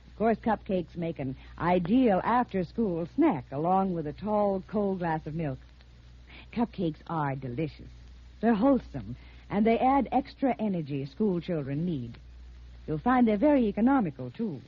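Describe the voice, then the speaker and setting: A woman's voice: lowish voice, sing-song, moderate pace, slightly rough. An American woman reading a 1950s radio advertisement as a home economist, formal, carefully enunciated and instructive. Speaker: home economist giving a sponsor message. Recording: muffled 1950s radio recording.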